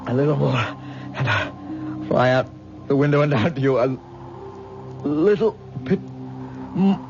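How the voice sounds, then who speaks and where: An actor playing a feverish, obsessive husband in an old radio drama, weak and halting, pleading melodramatically for his wife. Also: mid-range voice, expressive, vocal fry. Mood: straining, weak. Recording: muffled radio drama tape, loud music.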